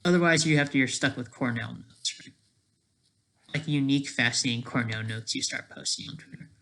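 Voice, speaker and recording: Casting: amateur vlogger, medium pitch, rambling unscripted commentary, restarts sentences and checks in with "right?". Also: brisk, sharp tone, slight background noise. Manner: tags statements with "right?"; rambling, trails off mid-sentence. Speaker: amateur video creator.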